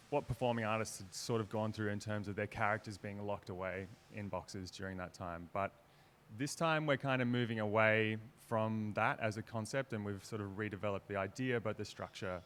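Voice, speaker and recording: A man's voice: lowish voice, a bit bright, slight background noise. A man, explaining his work casually and thoughtfully as an interview guest. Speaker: theatre maker being interviewed.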